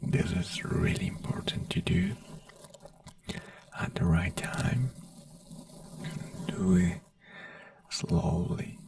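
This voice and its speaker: Soft slow asmr doctor whisper, dry sound, kinda gravelly. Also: low-pitched, very animated, no room sound, far from the mic. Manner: soft whisper, slow and soothing, simple reassuring phrases. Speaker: ASMR creator in a doctor roleplay.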